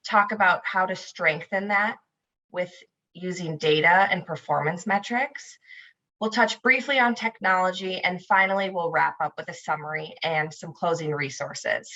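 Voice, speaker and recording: A woman from the United States presenting a webinar over a video call, upbeat and welcoming, speaking in clear prepared phrases. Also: lowish voice, pushed and bright. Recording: dull lo-fi video call audio.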